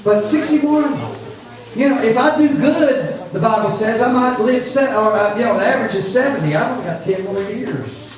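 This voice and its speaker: A man's voice: very high voice, moderate pace, crowd noise. A man, preaching informally to young people in a folksy, repetitive way. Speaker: preacher at a youth camp. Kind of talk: informal sermon. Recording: echoey room, distant mic, faint noise.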